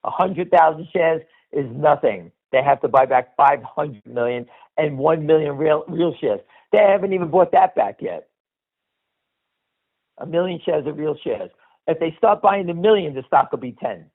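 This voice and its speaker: Higher-voiced man on a tinny phone line. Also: moderate pace, vocal fry. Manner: emphatic, blunt, insistent; repeats phrases and tags with "all right?". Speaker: host of an investor conference call. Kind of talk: unscripted stock market commentary.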